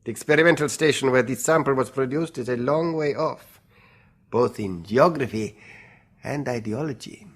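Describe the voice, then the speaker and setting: An actor playing a shady, self-assured dealer, slyly polite and amused in a classic radio drama. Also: male voice, medium pitch, normal speed. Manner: smooth, bargaining, slyly polite.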